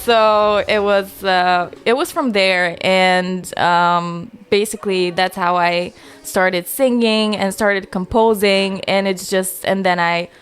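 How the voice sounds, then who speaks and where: Relaxed young woman from Bulgaria. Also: mid-range voice, slow pace, hardly any pauses, smooth. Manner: relaxed, chatty, laughs easily, trails off. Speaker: guest singer-songwriter. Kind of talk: casual interview chat.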